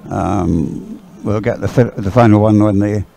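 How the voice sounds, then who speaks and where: A man giving conversational live sports commentary in a hesitant, halting way, often repeating his words. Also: lowish voice, fairly even intonation, gravelly, dark tone. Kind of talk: live dragon boat race commentary, conversational. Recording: live sports coverage, crowd in background.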